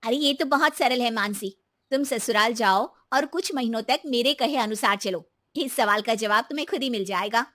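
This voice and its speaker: A woman's voice: fairly high pitch, normal speed, fairly bright tone, close to an everyday voice. A woman voicing a firm, self-assured young sister-in-law in a story, speaking in a pointed, emphatic way. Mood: wise, instructive. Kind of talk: animated Hindi moral story dialogue.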